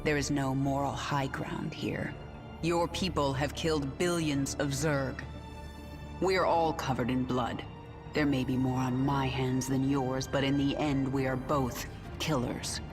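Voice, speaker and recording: A woman's voice: extremely low pitch, fairly even intonation, measured pace, music in the background. A woman voicing a grim, vengeful queen of an alien swarm in a video game, brooding, hard and commanding. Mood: hard, defiant. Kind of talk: game cinematic voice acting.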